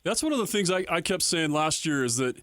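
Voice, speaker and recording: A man's voice: a little high, expressive, a little fast, a bit bright, no echo, clean studio sound. A man talking sports casually as a co-host on a radio show.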